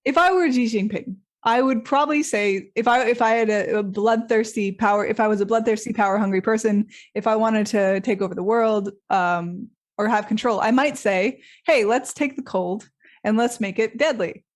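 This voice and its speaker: A woman's voice: a little high, moderate pace. A young Australian woman hosting a podcast interview, talking hesitantly with frequent fillers and restarts. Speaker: podcast host. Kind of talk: conversational interview.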